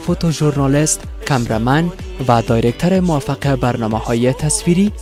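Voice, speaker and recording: A man with an Afghan accent reading a scripted promotional voice-over in a formal, polished announcer's manner. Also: medium pitch. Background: music.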